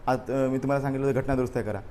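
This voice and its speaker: A man's voice: mid-range voice, fairly even intonation, very fast, warm tone, slight background noise. A man teaching an exam review class, repeating answers and quizzing his students. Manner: drills answers through repetition and questions.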